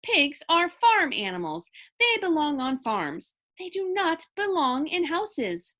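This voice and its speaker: A woman's voice: high voice, big pitch swings, slow, pushed and bright, pitched above the performer's own voice, full character voice. A woman voicing an opinionated, bossy older sister in a children's story, lecturing emphatically.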